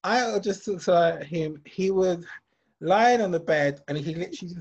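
A man's voice: moderate pace, darkish tone, husky, dull audio. Chatty British man hosting a podcast. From United Kingdom. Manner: chatty, drops to a low mutter for asides. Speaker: podcast host. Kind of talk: casual chat.

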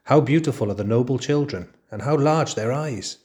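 A man's voice: medium pitch, close to an everyday voice. A man doing a sly, fawning jackal. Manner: wheedling, drawn-out flattery. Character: fawning, spiteful male jackal, small and sly. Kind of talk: storybook reading.

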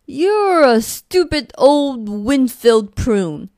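A woman voicing grumpy male monsters who shout insults through a mountain. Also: mid-range voice, sing-song, slow pace, no echo, studio quality. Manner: shouting name-calling.

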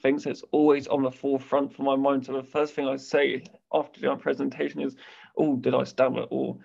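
A man's voice: medium pitch, flat delivery, a little fast, gravelly, a little mellow, lo-fi sound. A young man who stammers, interviewing casually and sharing his own experience, with frequent restarts. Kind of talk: casual conversation.

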